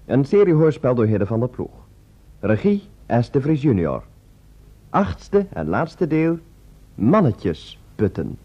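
A man's voice: medium pitch, big pitch swings, slow pace, long pauses, warm tone. A man announcing a radio drama serial in a scripted style. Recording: dull lo-fi radio recording.

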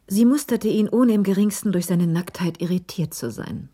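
A woman's voice: mid-range voice, normal speed, mellow, slightly rough. A woman reading scripted narration for a German radio crime drama. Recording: faint music under the voice.

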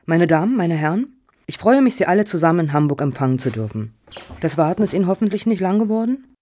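A woman playing a composed, courteous head of a foundation in a satirical radio play, speaking in a formal, measured, officious way. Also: on the low side, sing-song, mellow.

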